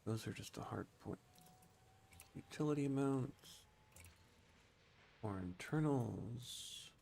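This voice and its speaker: A man's voice: medium pitch, slow, creaky, dark tone, a little hiss or hum. A man giving casual gameplay commentary as a streamer, thinking aloud and explaining things informally. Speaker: game streamer.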